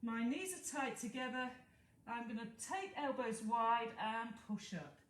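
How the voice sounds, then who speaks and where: A woman's voice: a little high, expressive, unhurried, fairly bright tone, recorded from a distance. A British woman Pilates instructor, congested from hay fever, counting reps and cueing movements while demonstrating. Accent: British English. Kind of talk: guided exercise class instruction. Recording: echoey room, voice from afar, faint hiss.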